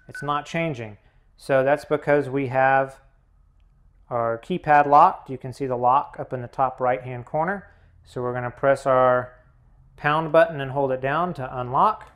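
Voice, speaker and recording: A man hosting a tutorial, giving hands-on instructional narration in a measured, methodical way. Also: medium pitch. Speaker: tutorial host.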